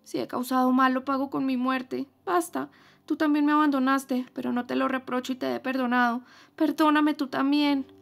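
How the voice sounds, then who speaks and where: A woman reading an audiobook voices a feverish, dying young woman, speaking higher and louder in a pleading tone. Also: flat delivery, fairly quick, soft background music, natural voice. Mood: sobbing pleading. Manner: pleading, raised, higher than narration.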